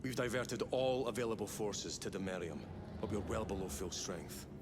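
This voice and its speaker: A man's voice: on the low side, normal speed, noisy background, close to an everyday voice. A man acting a grave military commander in a video game, with terse, formal, authoritative delivery. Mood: grave, pressed. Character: grave male military commander briefing his soldiers. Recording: music behind the voice.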